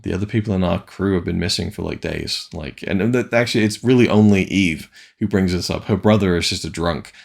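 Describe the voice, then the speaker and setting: A man's voice: low voice, a little fast, creaky, few pauses. A man from Wales giving a casual, rambling film review, full of hesitant restarts.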